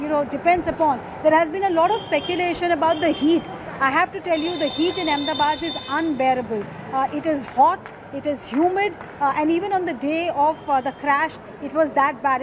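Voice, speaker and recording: Clearly noisy outdoor field audio carries a high-pitched woman reporter with an Indian accent. She reports live, explaining things emphatically. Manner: emphatic, explanatory, rhetorical questions. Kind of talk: live on-location news reporting.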